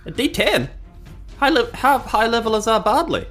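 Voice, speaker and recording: Animated New man, very lively pitch. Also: normal speed, soft background music. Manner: jokey, animated, self-mocking. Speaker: player in tabletop RPG podcast. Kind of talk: casual joking game chat.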